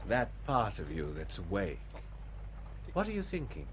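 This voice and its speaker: A man acting in a 1960s radio drama as an earnest, reflective spaceship crewman, with measured, poetic delivery. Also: low-pitched, huge pitch swings, full character voice. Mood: anxious curious. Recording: noisy vintage radio drama tape.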